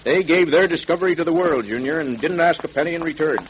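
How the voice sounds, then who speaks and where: An actor in a vintage radio serial playing a genial police captain, explaining things in a folksy, avuncular way. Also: male voice, fairly high pitch, lightly acted. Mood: fondly explaining. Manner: avuncular, explanatory, hesitant pauses. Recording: crackly old radio recording.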